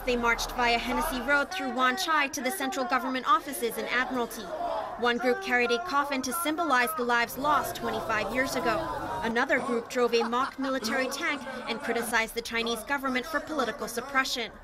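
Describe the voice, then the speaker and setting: A woman's voice: high voice, fairly even intonation, brisk, over a noisy crowd. A woman delivering a news report in a scripted, on-the-scene style, as a reporter. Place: outdoors on a busy street at a protest. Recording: clear street noise behind the voice.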